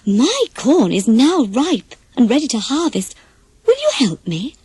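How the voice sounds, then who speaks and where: A woman voicing a wise, patient little storybook hen who speaks in a polite, knowing, gently coaxing way. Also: on the high side, very animated, unhurried, breathy edge, dry recording, full character voice. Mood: friendly, coaxing.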